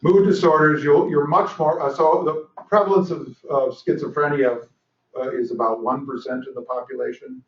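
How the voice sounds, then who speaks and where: A man's voice: fairly high pitch, fairly even intonation, moderate pace. An older man giving a lecture at a training session, talking with dry humor and lots of ums and restarts. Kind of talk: conference lecture. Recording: roomy, distant, dull lecture recording.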